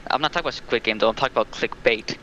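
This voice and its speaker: Bright-voiced man on a dull lo-fi call. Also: medium pitch. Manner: halting, rambling. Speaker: livestream call guest. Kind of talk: casual chat, recounting a plot. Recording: dull lo-fi call, faint noise.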